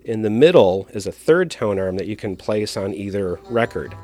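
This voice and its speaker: A man's voice: fairly low pitch, lively intonation, normal speed, warm tone, dry recording. A man explaining things in a casual, conversational way as a record collector and DJ giving a hands-on demonstration. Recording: music playing behind the voice. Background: old records playing.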